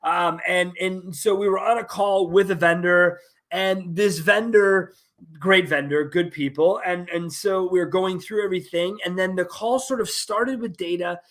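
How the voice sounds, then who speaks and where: A man with a notably high-pitched voice delivers a solo podcast monologue from his office. He talks bluntly and candidly, drops casual swear words, and punctuates his points with emphatic asides. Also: fairly even intonation, normal speed, fairly bright tone. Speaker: podcast host.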